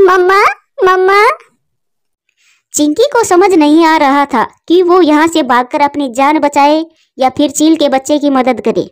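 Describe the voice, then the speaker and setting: High-pitched woman narrating a children's story. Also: a little mellow.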